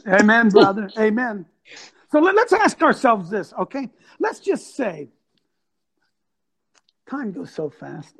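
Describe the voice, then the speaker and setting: Animated man in his sixties hosting. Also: sits high, slow, pauses often, husky. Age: 60s. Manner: animated, preachy, loud exclamations. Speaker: host.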